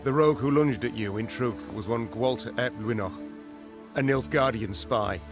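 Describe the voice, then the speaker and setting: A man's voice: medium pitch, normal speed, natural voice. Loyal, formal knight, played by a man, faint music. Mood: grave, reporting. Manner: formal, deferential, earnest. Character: loyal, earnest knightly officer serving a queen. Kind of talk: video game voice acting. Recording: music behind the voice.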